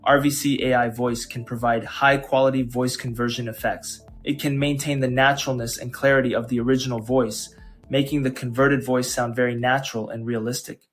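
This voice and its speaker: A man hosting a tech channel, narrating a scripted software tutorial. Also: mid-range voice, little pitch movement, a little fast. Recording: music behind.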